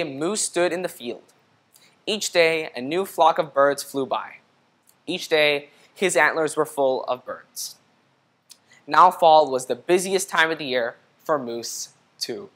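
A young man reads a picture book aloud for children. He speaks in an instructive storytelling way, pausing to address the young listener directly. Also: on the high side, a bit bright. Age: young adult. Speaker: storybook reader. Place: indoor studio room. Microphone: lapel mic.

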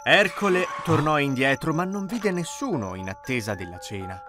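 A man narrating a cartoon fairy tale in an expressive storytelling way. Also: a little high, moderate pace. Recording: music behind voice.

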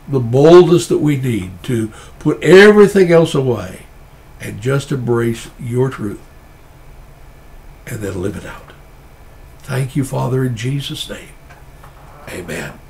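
A middle-aged man, a pastor with a stuffy nose, preaching an earnest, measured sermon and often restarting his phrases. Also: mid-range voice, lively intonation, vocal fry, darkish tone, slight background noise.

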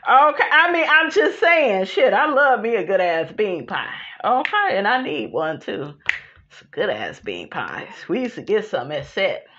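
A woman with an American accent hosting a gossip podcast, talking casually in a sassy, slangy way with animated asides, on muffled lo-fi audio. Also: mid-range voice, measured pace. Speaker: podcast host. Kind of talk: casual gossip commentary, reading posts aloud.

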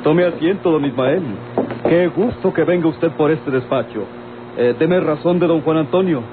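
Actor as a formal, obliging Mexican bank manager. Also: male voice, a little high, normal speed, close to an everyday voice. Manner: formal, deferential. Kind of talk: old Mexican radio drama acting. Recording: tinny, noisy old radio drama recording.

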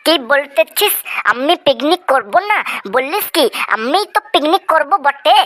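Cheeky high, bright cartoon schoolkid voiced by a woman. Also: expressive, unhurried. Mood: boastful. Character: cheeky, boastful, food-loving schoolkids in a cartoon. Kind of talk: comedy cartoon dubbing.

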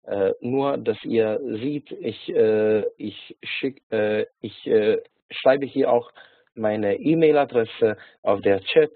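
A man from Hungary speaking with a Hungarian accent, teaching an online lesson on a video call, halting with many filler sounds and restarts. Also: on the low side, dry recording. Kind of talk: online lecture. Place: small indoor room. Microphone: webcam or laptop mic on a video call. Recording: tinny phone-like video call.

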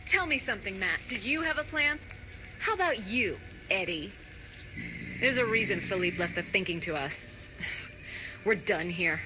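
An actress playing a cool, condescending crime syndicate lieutenant in a video game, with a cutting manner. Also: female voice, mid-range voice, animated, moderate pace, long pauses, noisy background, lightly acted. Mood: scornful. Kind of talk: video game cutscene acting.

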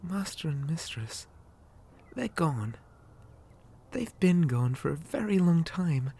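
Man voicing a slow, breathy, creepy doll boy. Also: fairly high pitch, big pitch swings, full character voice. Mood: lonely, wistful. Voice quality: soft, breathy, often dropping to a whisper. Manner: gentle, unsettlingly polite, lilting childlike address. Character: creepy, possessive living doll boy, lonely and falsely sweet. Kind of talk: ASMR roleplay.

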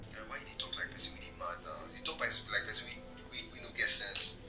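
An actor with a Nigerian accent playing a loyal, composed assistant and friend in a screen drama. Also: male voice, fairly low pitch, animated, fast talker, pushed and bright, faint music behind. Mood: worried, urgent.

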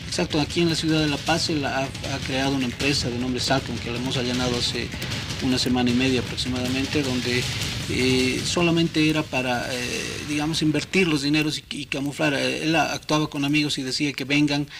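A man, a police major speaking in a TV studio interview, official in tone, often pausing and adding "no?". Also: mid-range voice, fairly even intonation, music in the background, rough recording. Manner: measured, official, many hesitations and tag "¿no?". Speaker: police major, anti-corruption unit chief.

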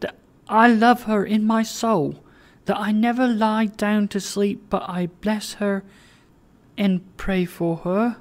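A reader voicing a tender young woman who pleads in high, emotional tones. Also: close to an everyday voice.

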